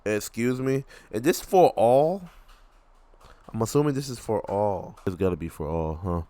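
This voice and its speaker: A man's voice: fairly low pitch, expressive, moderate pace, warm tone, dry recording. A man giving casual reaction commentary in a slangy, repetitive way. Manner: slangy, repeats himself. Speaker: reaction video creator.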